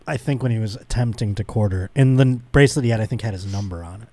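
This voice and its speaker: A man's voice: lowish voice, fast, darkish tone, dry recording. Man bantering on a close, dry-sounding podcast. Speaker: podcast host. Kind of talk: casual comedic podcast banter.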